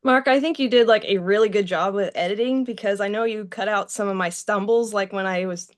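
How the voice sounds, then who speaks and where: A woman's voice: medium pitch, fairly quick. A woman chatting casually and informally as a podcast guest, dropping "like" into her sentences. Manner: informal, frequent use of "like". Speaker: podcast guest, radio play cast member. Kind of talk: casual chat.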